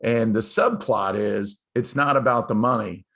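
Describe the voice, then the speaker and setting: A man's voice: lowish voice, unhurried, gravelly, a bit bright. A man hosting a live interview show, talking in a rambling, enthusiastic way with many fillers and restarts. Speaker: host.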